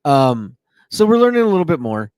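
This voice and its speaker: A man hosting a podcast, relaxed and a bit jokey, running through news with many fillers. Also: on the high side, brisk, clear, resonant tone, no room sound. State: a little woozy. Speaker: podcast host. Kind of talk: casual chat, reading news.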